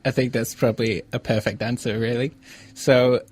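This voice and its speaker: A man's voice: mid-range voice, flat delivery, normal speed, darkish tone, husky. A man hosting a casual interview and putting questions to his guest. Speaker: podcast host, interviewer.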